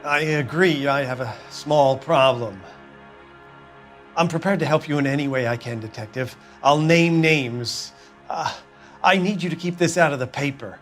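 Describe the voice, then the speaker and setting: An actor playing a slippery, self-important American crook cornered by police in a video game, evasive in manner. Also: male voice, on the high side, moderate pace, fairly bright tone, faint music behind, natural voice. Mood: pleading.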